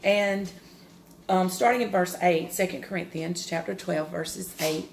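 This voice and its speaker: A woman in her forties with a loud, carrying voice, giving a personal devotional talk, earnest and open, with pauses and restarts. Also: fairly low pitch, fairly even intonation, creaky, slight background noise. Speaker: devotional speaker.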